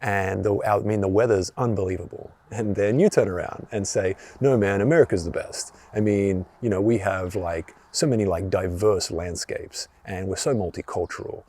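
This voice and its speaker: A young man from Australia, hosting a reflective solo podcast and explaining ideas in a calm, gentle teaching tone, often checking in with "right?". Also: sits low, normal speed, few pauses. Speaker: podcast host.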